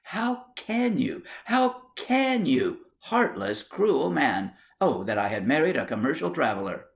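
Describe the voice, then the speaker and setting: A man's voice: fairly high pitch, very animated, unhurried, gravelly, pitched above the performer's own voice, exaggerated voice. A man voicing an indignant, jealous and melodramatic young Victorian wife in a storybook, haughty and exclamatory. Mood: wounded outrage.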